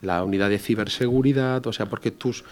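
A man's voice: on the low side, a little fast. A man in his fifties from Spain, a security executive answering interview questions in an explanatory way, repeating his key points. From Barcelona, Spain. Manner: explanatory, repeats key phrases, rhetorical tags.

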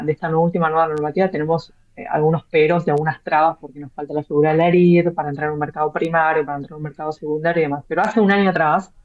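A woman's voice: deep voice, a little mellow. A woman, an author answering interview questions, often hesitating with fillers and repeated words. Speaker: author and blockchain real estate guest. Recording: dull lo-fi sound.

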